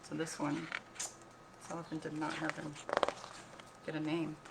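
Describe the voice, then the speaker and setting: A woman reading a picture book aloud as a teacher, expressive and instructive, often pausing to put questions to listeners. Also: sits low, creaky, heavy background noise. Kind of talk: read-aloud lesson for children with questions to listeners.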